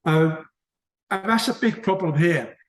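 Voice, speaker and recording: A man's voice: sits high, a little slow, roomy sound. A man from the UK with a British accent, chatting casually in a book club discussion, rambling and often restarting his sentences. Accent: British English.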